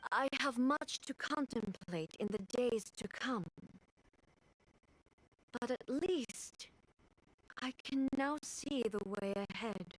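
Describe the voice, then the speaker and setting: An actress voicing a stern, composed female ruler in a video game, speaking formally and with measured command. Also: fairly high pitch, poor audio. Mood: solemn. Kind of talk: scripted video game voice acting. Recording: game dialogue over background music.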